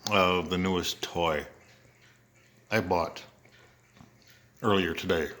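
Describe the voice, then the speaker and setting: Deep-voiced older American man. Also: steady tone, slow pace, fairly bright tone. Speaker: home video maker showing an antique radio. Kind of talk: casual show-and-tell narration.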